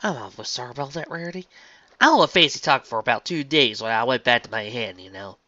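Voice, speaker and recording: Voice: mid-range voice, lively intonation, fast talker, pushed and bright, no echo, muffled recording. A narrator voicing a plain-spoken, stubborn young female farm pony with a folksy way of talking. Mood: sheepish. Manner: folksy, rustic country talk.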